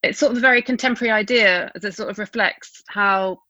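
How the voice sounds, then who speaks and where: A woman hosting a podcast, asking measured, slightly hesitant questions. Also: mid-range voice, pushed and bright, dry recording. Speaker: podcast host. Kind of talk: interview questions. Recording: dry, dull remote audio.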